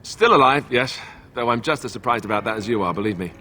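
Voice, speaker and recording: An actor playing an affable, self-effacing young warrior in a fantasy game, with a wry, casual manner. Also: male voice, medium pitch, animated, quick pace, pushed and bright, a little hiss or hum. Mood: wry, friendly. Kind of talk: fantasy video game acting.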